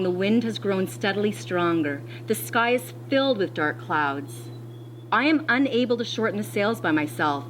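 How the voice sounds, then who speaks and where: A woman schoolteacher reading a children's storybook aloud, expressively and deliberately, with dramatic pauses. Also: mid-range voice, slight background noise, rough recording. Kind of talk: read-aloud of a children's storybook with teacher asides.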